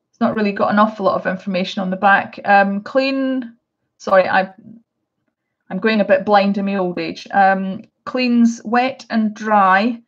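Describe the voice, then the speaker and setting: A woman's voice: mid-range voice, dull audio. A middle-aged Scottish woman chatting casually through a live unboxing, with many hesitations and restarts. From Scotland. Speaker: art vlogger.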